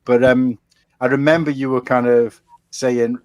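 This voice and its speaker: A man's voice: medium pitch, flat delivery, a little slow, a crowd in the background. A Welsh man hosting a casual chat show, rambling and friendly, calling people mate. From Wales. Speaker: podcast host.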